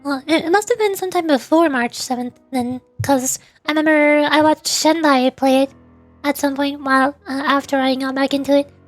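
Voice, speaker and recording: A high-pitched woman talking casually on a livestream, rambling from one thought to the next and replying to her viewers as she goes. Faint music plays quietly behind her voice. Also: moderate pace. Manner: rambling, chatty, answers viewers' questions. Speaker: streamer.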